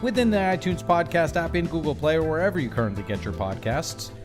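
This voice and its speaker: A man's voice: a little high, big pitch swings, fast talker, over music. A man hosting a podcast, reading sponsor spots and an intro with emphasis, then chatting casually with a guest. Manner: upbeat and emphatic in the intro, soft and chatty in conversation. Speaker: podcast host. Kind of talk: scripted intro read, then casual interview.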